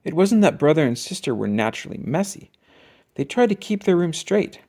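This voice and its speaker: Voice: mid-range voice, very animated, fairly quick, a little mellow. An adult reader narrating a children's picture book expressively, drawing out key words for emphasis. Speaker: storybook reader. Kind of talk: scripted children's storybook narration.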